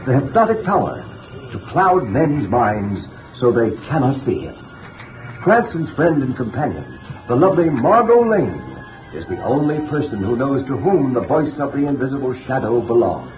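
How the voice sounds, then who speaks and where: Locutor de radio antiguo, enfático. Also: male voice, sing-song, normal speed. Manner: booming, emphatic announcer delivery. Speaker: announcer. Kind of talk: scripted announcement and narration. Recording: tinny 1930s radio broadcast with music.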